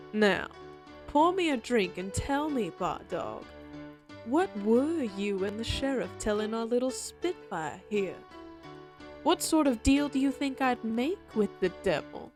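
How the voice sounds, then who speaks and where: A woman's voice: on the high side, animated, a little slow. A female outlaw ringmaster from an Old West audio play, with a drawl. She takes her time over every word, theatrical and mock-polite, coolly menacing, with music underneath. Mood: amused, probing.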